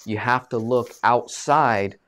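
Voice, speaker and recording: A man in his thirties hosting a live video-call interview, animated and full of fillers, speaking from a small room. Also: medium pitch, takes it slowly. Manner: animated, gestures a lot, many fillers. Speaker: host. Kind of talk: casual live-stream interview. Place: small room, plain green wall. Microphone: video call webcam.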